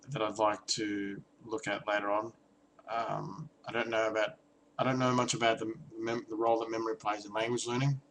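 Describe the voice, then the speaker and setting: Young male vlogger, low-pitched, halting and reflective, slight background ambience. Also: fairly bright tone, a little hiss or hum, poor audio. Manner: hesitant, many restarts and ums, reflective. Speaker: vlogger and language learner. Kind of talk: casual talking-head vlog.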